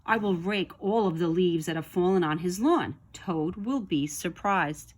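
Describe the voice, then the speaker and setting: A woman voicing a kindly, calm male frog in a children's storybook, speaking in a measured, gentle way. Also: lowish voice. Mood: pleased, planning. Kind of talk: children's storybook read-aloud.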